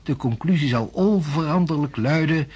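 An actor playing a self-assured, skeptical male physics professor in a radio drama, husky and pompously lecturing. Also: fairly high pitch, normal speed, a little mellow. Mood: assertive.

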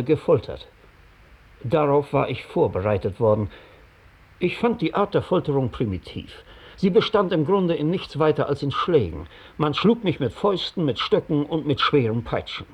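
Husky, bitter captured spy. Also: male voice, a little high, a little mellow. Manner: deliberate, precise, coolly ironic. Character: bitter, analytical captured British spy giving testimony. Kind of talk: 1960s German radio drama monologue.

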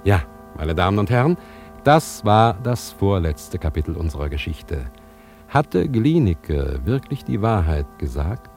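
Radio drama: serial announcer, lowish and animated, theatrical suspense, over faint guitar music. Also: male voice, unhurried, exaggerated voice. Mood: suspenseful. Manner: teasing rhetorical questions, theatrical. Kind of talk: scripted narration.

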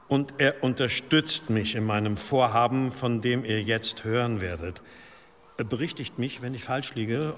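Actor as a solemn monk in a reverberant hall. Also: male voice, mid-range voice, fairly bright tone, natural voice. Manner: formal, grave, unctuous toward superiors. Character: solemn, deferential monk preaching of a demon. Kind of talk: radio drama oratory. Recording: long church-like reverb, faint noise.

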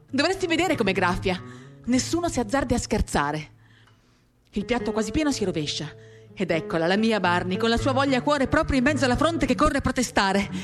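A woman gives an expressive, lyrical dramatic reading of a first-person literary story, shifting in intensity as she tells it. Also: fairly high pitch. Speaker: reader of a literary work. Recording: acoustic guitar music accompanying the voice. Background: acoustic guitar.

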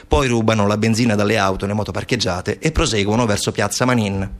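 A man performing a dramatic narrative monologue as a stage actor, urgent and rhetorical in delivery. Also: medium pitch. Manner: urgent, rapid-fire delivery with rhetorical repetition and lists. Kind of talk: dramatic monologue, theatrical storytelling for radio.

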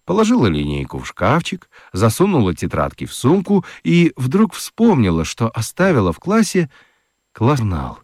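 A man narrating a scripted children's radio story in an expressive, dramatic storytelling manner. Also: medium pitch, moderate pace, no room sound, studio quality.

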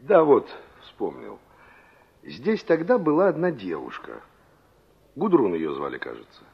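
A man's voice: mid-range voice, huge pitch swings, measured pace, vocal fry, slightly rough, heavily acted. A man acting a young engineer and former carpenter in a 1960s radio drama, conversational and reflective, searching for words as he reminisces. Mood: wistful, searching. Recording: dull, band-limited old radio recording.

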